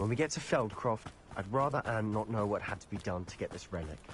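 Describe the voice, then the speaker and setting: A man's voice: on the low side, expressive, fast talker, a little hiss or hum, lightly acted. A man voicing a headstrong teenage schoolboy in a video game, intense, stubborn and emotional. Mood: troubled. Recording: game music behind.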